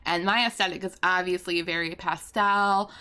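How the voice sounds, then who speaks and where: A young American woman chats in a bright, upbeat voice with a slight hoarseness. She rambles cheerfully, full of ums and asides, into a desk mic at home. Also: lowish voice, steady tone, normal speed. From United States. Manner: bubbly, chatty, rambling with fillers. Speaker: YouTuber and streamer. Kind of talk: casual chat over a building video. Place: home room with pastel backdrop and fairy lights.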